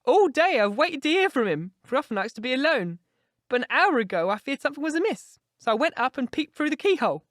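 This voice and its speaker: A man's voice: very high-pitched, big pitch swings, fast, a bit bright, no echo, clean studio sound, voice pushed up, heavily acted. A man voicing an anxious, devoted old housekeeper woman in an audiobook story, fretful and effusive. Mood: frightened. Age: older.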